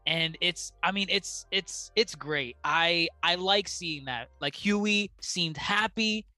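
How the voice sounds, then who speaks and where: Bright, hesitant man on a podcast. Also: high-pitched, slow pace, no echo, soft background music. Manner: hesitant, many fillers and restarts. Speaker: podcast co-host. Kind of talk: casual podcast chat.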